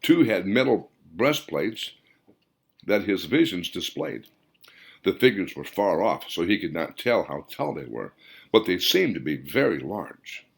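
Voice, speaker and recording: A man's voice: deep voice, big pitch swings, measured pace, vocal fry, slightly rough. A man reading his own fiction audiobook in a dramatic, scripted storytelling delivery.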